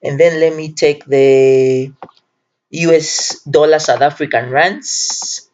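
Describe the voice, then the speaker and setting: A man's voice: fairly high pitch, fairly even intonation, slow pace, a little mellow, a little hiss or hum. Earnest Zambian man, dull lo-fi recording. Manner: earnest, repeats phrases for emphasis. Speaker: self-taught forex trader presenting a tutorial. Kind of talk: informal video tutorial talk.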